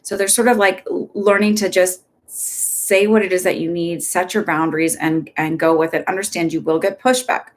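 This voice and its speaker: A middle-aged woman from Texas giving casual live psychic readings, chatty and upbeat, rambling along, gently reassuring as she passes on advice. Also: on the low side, steady tone, moderate pace, hardly any pauses.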